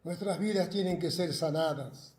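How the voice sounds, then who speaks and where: A man's voice: on the high side, moderate pace, a little mellow, roomy sound. A man from Argentina, a pastor, preaching and praying earnestly into a self-recorded video, repeating his phrases fervently. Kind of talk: recorded sermon and prayer.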